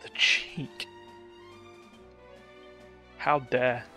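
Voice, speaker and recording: A man's voice: medium pitch, level tone, drawn-out words, pushed and bright. A man streaming a video game with casual commentary, tossing in playful sound words and asides. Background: game music.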